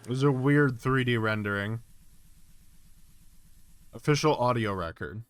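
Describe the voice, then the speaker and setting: A man with a clear, smooth voice at a middling pitch, talking casually as a streamer. He muses aloud, drifting between reading text and giving his own commentary. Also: a little slow. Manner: musing, thinking aloud. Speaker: streamer reacting to an analog horror series.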